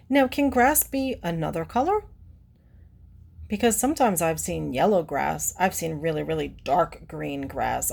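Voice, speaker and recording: Woman, children's read-aloud presenter: low, gravelly voice, explaining simply and asking questions. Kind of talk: picture-book read-aloud with teacherly asides to children.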